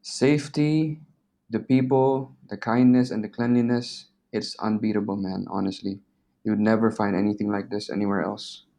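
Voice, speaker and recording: Low-pitched young man, casual and slangy. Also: steady tone, normal speed, dark tone. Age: 20s. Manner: informal, slangy, swearing. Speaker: interview guest. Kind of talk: casual interview chat.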